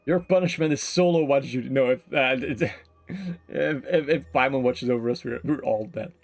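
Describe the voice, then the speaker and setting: Gravelly man streaming a game, joking around. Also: medium pitch, normal speed, dry recording. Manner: joking, playful. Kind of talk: casual live gameplay commentary. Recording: faint game music behind.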